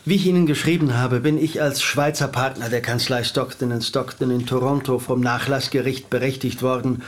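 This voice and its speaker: An actor playing a formal, measured Swiss lawyer reading out a will in a radio drama. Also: male voice, mid-range voice, fairly even intonation, slight background noise, lightly acted. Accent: Swiss German. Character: formal Swiss lawyer man, precise and soothing.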